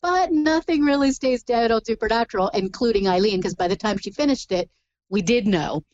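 A woman's voice: on the high side, brisk, darkish tone, highs cut off. A woman talking about a book in a casual podcast chat, thoughtful and analytical.

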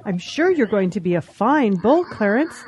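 A woman's voice: mid-range voice, sing-song, moderate pace, a little mellow, faint music behind, natural voice. A woman voicing a cheerful, kindly female farm chicken in a children's audio drama, with a lilting delivery.

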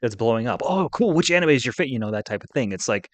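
A man's voice: medium pitch, lively intonation, fast talker, no echo. A middle-aged man talking casually and conversationally as the co-host of a podcast.

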